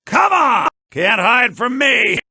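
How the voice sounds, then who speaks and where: A man playing a sly, grasping shopkeeper in a fantasy video game, with a raspy, theatrical delivery, in low-bitrate compressed audio. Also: sing-song, slow, bright, forceful tone, dry recording, raised voice, clean studio sound, heavily acted. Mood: alarmed, angry. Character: sly fantasy male shopkeeper, grasping, quick to yell at thieves. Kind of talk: video game character barks.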